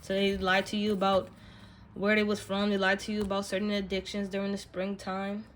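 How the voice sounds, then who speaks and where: A woman's voice: medium pitch, monotone, fairly quick, slight background noise. A woman giving a casual spiritual card reading, speaking directly to the listener in an emphatic, slangy, profane way. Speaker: tarot card reader, channel host.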